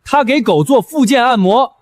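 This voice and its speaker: A man's voice: very high-pitched, expressive, moderate pace, no echo. A man playing a suspicious, indignant, accusing complainer in a scripted drama.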